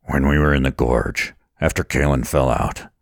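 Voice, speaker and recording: Man voicing Laith, a grave deep-voiced friend. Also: steady tone, normal speed, vocal fry, dry recording, studio quality, full character voice. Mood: solemn.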